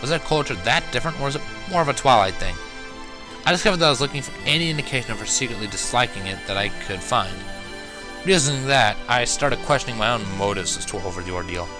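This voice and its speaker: A man's voice: medium pitch, animated, fast, music in the background. A man narrating a first-person fan fiction story in a wry, dramatic manner.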